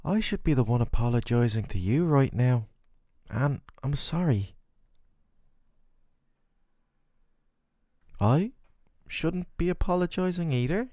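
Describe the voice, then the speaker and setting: Man playing a doting, worried boyfriend, expressive, close-up. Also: medium pitch, normal speed, no room sound, lightly acted. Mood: apologetic, loving. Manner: soothing, earnest, affectionate. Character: worried, tender, doting boyfriend caring for his sick partner. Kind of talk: scripted boyfriend roleplay ASMR.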